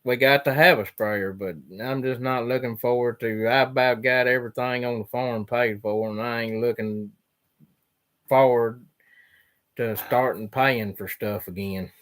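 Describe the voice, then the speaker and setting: A man, a farmer, talking in an easy drawl at a middling pitch. He speaks plainly, restarts his sentences and lets them trail off as he answers viewers' questions on a livestream. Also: steady tone, unhurried, a bit bright. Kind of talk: casual chat reading viewer questions.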